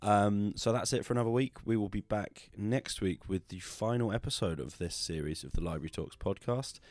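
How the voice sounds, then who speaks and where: A man's voice: on the low side, moderate pace, darkish tone, no room sound. A British man presenting a podcast as host in a conversational style.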